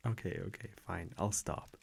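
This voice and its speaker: A man playing an affectionate centuries-old vampire boyfriend in an intimate ASMR roleplay, speaking softly, hesitantly and teasingly into a close microphone. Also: deep voice, creaky, husky, full character voice. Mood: playful. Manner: soft, hesitant, teasing, chuckling between phrases.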